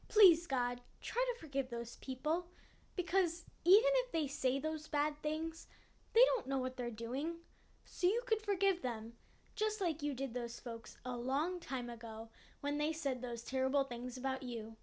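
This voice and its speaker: A woman's voice: high voice, expressive, unhurried, darkish tone, pitched above the performer's own voice. A woman voicing an earnest, innocent little schoolgirl in a storybook, speaking simply with childlike pleading. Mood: praying, pleading. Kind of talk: children's storybook read-aloud.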